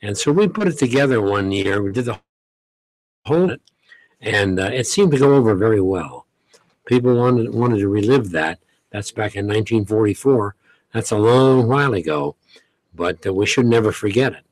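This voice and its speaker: A man's voice: on the low side, animated, moderate pace, darkish tone, no echo, low-quality recording. An older man, a longtime radio host, chatting in a radio studio and telling anecdotes with small chuckles. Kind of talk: relaxed reminiscing studio talk. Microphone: studio mic, headphones. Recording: dry close studio sound.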